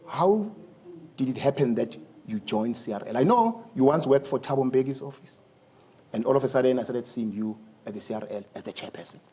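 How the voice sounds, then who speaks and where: A man hosting a studio interview, speaking in slow, emphatic phrases and mixing in Zulu. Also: medium pitch, animated, dark tone, breathy edge. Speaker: talk show host.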